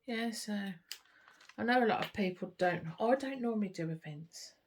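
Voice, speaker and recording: A woman's voice: mid-range voice, lively intonation, moderate pace, slight background noise. A woman chatting casually to camera while she works on a craft kit, murmuring and trailing off, a little sniffly. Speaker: craft vlogger.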